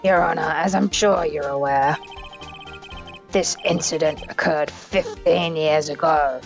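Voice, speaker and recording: Woman playing a gravelly, haughty male prosecutor. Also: sits low, steady tone, unhurried, soft background music. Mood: condescending. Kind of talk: live amateur game dub.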